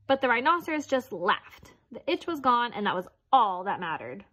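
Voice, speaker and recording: A woman's voice: on the high side, sing-song, moderate pace, fairly bright tone. A woman reading a children's picture book aloud as narrator. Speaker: storybook reader.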